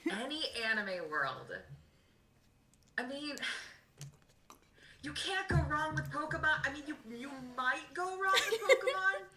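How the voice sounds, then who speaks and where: A young woman with a deeper voice, a voice actress guest chatting casually and rambling, laughing often, over a video call. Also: big pitch swings, unhurried, pauses often, pushed and bright. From United States. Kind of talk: casual convention panel interview. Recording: echoey, distant, faint background noise.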